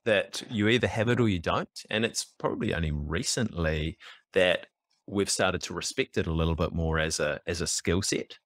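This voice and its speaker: A man's voice: low voice, moderate pace, a bit bright, dry recording, clean studio sound. A man in his thirties with a New Zealand accent, hosting a podcast over a video call and asking questions in a matey, friendly way. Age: 30s. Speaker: podcast host. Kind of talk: casual interview chat. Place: indoor room. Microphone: headset on a video call.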